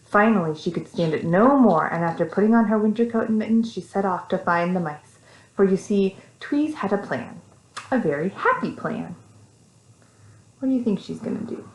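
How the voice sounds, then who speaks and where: A woman with an American accent reading a story to young children, animated, with simple casual asides. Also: medium pitch, moderate pace, slight background noise. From Texas, United States. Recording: echoey room.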